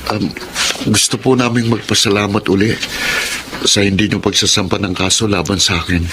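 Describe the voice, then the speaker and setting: A man's voice: lowish voice, fairly even intonation, normal speed, noisy background, close to an everyday voice. A man playing a spoiled, vengeful young suitor in a melodramatic Filipino radio drama, with a Filipino accent and emphatic delivery. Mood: contrite.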